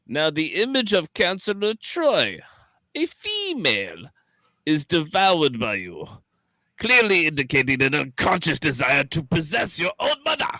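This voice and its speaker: An excitable, self-important male psychoanalyst for a radio play. His high, bright voice swoops wildly as he lectures with theatrical flair. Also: unhurried, no echo, exaggerated voice. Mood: lecturing. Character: pompous, excitable old-school male psychoanalyst, a holodeck Freud. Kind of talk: radio play live read.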